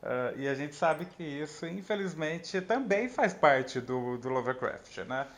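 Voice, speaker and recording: A man's voice: on the high side, expressive, a little slow, fairly bright tone. A man, a professor and author, explaining thoughtfully in conversation, hesitating with many fillers and restarts.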